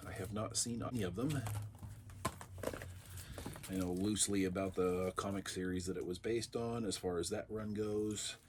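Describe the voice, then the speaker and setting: Congested raspy man, low voice. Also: darkish tone, a little hiss or hum. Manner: rambling, chatty, dry asides. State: congested, under the weather. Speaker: vlog host. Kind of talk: casual unboxing chat.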